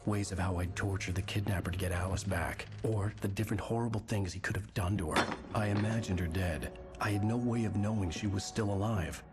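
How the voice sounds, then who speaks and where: An actor playing a tormented, terse writer in a video game, with a brooding noir style. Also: male voice, on the low side, steady tone, fairly quick, natural voice. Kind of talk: scripted game dialogue and noir narration. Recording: loud music behind the voice.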